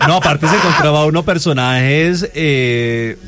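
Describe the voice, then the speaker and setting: A man co-hosting a casual chat show, explaining things in a relaxed way. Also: on the high side, measured pace, faint music behind. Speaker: show co-host.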